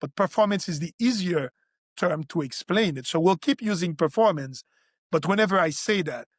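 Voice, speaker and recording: A man's voice: high-pitched, lively intonation, normal speed, no echo, studio quality. A man who hosts a health podcast, a doctor whose first language is not English, explaining in an earnest tone with frequent restarts. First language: French or Creole. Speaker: physician podcast host.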